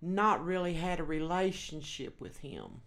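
A woman's voice: sits low, sing-song, unhurried, fairly bright tone. A woman with a Texas accent, a guest minister sharing her testimony in an earnest storytelling way. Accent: Texan. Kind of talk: personal testimony.

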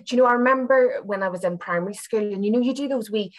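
A woman's voice: mid-range voice, fast. A woman from Belfast with a Northern Irish accent, talking in a chatty, reflective, slightly hesitant way as an interview guest. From Belfast, Northern Ireland. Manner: chatty, hesitant, circling back with hedges. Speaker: university senior lecturer, interview guest. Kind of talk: casual reflective personal interview.

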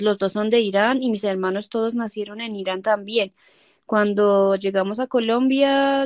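A woman's voice: mid-range voice, no room sound, clean studio sound. A woman from Iran whose first language is Persian, a guest in a casual interview, talking hesitantly with many fillers and small laughs.

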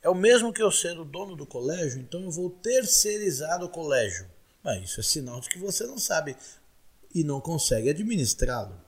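A man's voice: fairly high pitch, sing-song, brisk, husky. A man in his forties with a São Paulo Brazilian accent, answering interview questions as a candidate in an emphatic, repetitive, oratorical way. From Guarulhos, São Paulo, Brazil. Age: 40s. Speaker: political candidate being interviewed.

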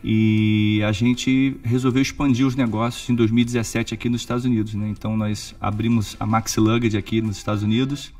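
A man from Rio de Janeiro with a Carioca accent, a shop owner calmly explaining his business in an interview, with hesitant fillers. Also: on the low side, a little fast, hardly any pauses, recorded from a distance. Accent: Carioca Portuguese. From Rio de Janeiro, Brazil. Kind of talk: interview answers.

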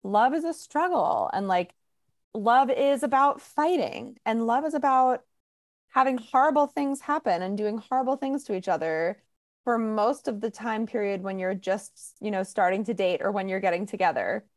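A woman's voice: a little high, normal speed, no echo, clean studio sound. A woman hosting a podcast, talking casually and emphatically with strong opinions and a lot of "like". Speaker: podcast host. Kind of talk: casual conversational podcast talk.

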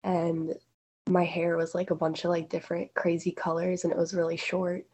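A teenage girl talks casually and chattily, with her speech packed with fillers. Her voice sits a little on the low side for a girl. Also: monotone, moderate pace, darkish tone, husky. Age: teens. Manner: chatty, filler-heavy, giggly. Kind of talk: casual group conversation.

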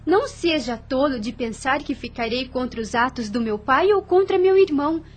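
Radio drama: loyal young woman, high husky voice, gentle yet firm, earnest formal delivery. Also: animated, moderate pace. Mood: firm, indignant. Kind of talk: radio soap opera acting.

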